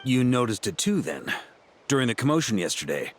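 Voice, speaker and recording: A man's voice: mid-range voice, big pitch swings, a little fast, a little hiss or hum, natural voice. An actor playing a dutiful, composed male knight commander in a video game, speaking formally and earnestly. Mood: resolute.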